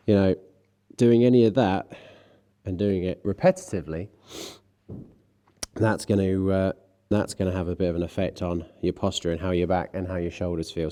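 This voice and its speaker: Low, mellow male fitness coach with a blocked nose; calm, steady stretch cues, a dry joke now and then. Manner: calm coaching with light self-mocking asides. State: congested from a cold, a bit nasal. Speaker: fitness instructor. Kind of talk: guided stretching class.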